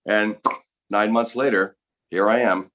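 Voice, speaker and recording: A middle-aged man from Los Angeles, a guest on a podcast, telling stories in a relaxed, casual way. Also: lowish voice, measured pace. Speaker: guest actor. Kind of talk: casual interview chat.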